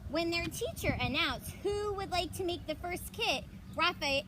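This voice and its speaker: A woman with a high voice reads a picture book to young children in the manner of an elementary teacher. She is expressive and chatty, slipping between story and casual explanation. Heavy background noise runs through the recording. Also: measured pace. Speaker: elementary school teacher reading to pupils.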